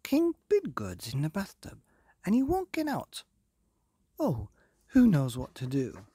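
Casting: male narrator as panicky boyish palace page, high and pleading, for a children's tale. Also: wildly swooping pitch, unhurried, warm tone, husky, dry recording, clean studio sound, full character voice. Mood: panicked, pleading. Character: frantic young male royal page crying for help.

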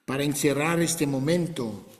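An older man hosting a video call, speaking Spanish in a gentle, unhurried, pastoral way. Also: on the high side, long reverb tail. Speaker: host.

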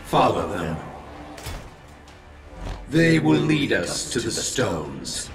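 An echoing, distant game villain. This scheming leader drags out words theatrically with an animated pitch, hungry for power, as music plays clearly behind. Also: male voice, exaggerated voice. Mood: scheming, triumphant. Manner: slow, theatrical. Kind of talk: video game villain acting.

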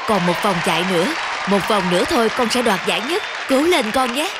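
A woman's voice: a little high, very animated, brisk, a loud crowd, heavy background noise. A woman voicing a caring, encouraging mother of a young boy in a cartoon. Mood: proud, encouraging. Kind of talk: animated cartoon dub.

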